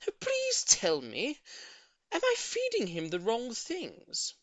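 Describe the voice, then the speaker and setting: A man voicing a sweet, gushing middle-aged lady who dotes on her pet, with drawn-out cooing words, in an audiobook. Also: very high voice, wildly swooping pitch, fairly bright tone, no echo, pitched above the performer's own voice, full character voice, poor audio. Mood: eager, excited. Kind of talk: audiobook character voice.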